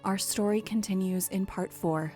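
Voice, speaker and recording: A woman's voice: mid-range voice, fairly even intonation, moderate pace, warm tone. A woman reading scripted closing credits as the announcer of an audio drama. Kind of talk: scripted narration. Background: music.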